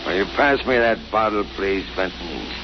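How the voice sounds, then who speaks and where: An actor playing a controlled, menacing conspirator with measured, formal speech in an old-time radio drama. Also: male voice, on the low side, expressive, loud music behind the voice. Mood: quietly menacing. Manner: measured, formal, veiled threats. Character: menacing, controlled male conspirator warning his partner. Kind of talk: 1930s radio drama acting. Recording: muffled, noisy old radio recording.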